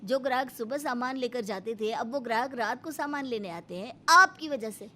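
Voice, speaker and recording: A woman's voice: fairly high pitch, lively intonation, moderate pace, sharp tone. A woman voicing an aggrieved, resentful younger brother in a storybook drama, complaining and defiant. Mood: resentful, angry. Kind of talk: acted storybook dialogue.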